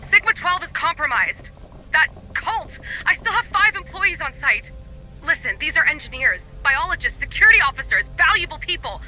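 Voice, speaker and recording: Desperate lady boss begging for help in a game, high tense voice, bit of music. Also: big pitch swings, normal speed, pushed and bright, exaggerated voice, rough recording. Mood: distressed, pleading. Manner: urgent, pleading. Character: desperate female administrative director sending distress call. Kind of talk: video game voice acting.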